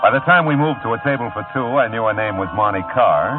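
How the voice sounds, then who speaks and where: Hard-boiled wisecracking private eye, low and dry, played by a man, tinny vintage radio. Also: lively intonation, fast talker, music in the background, close to an everyday voice. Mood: wry. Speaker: detective narrating his own case. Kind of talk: 1950s radio drama acting. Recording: tinny lo-fi old radio broadcast.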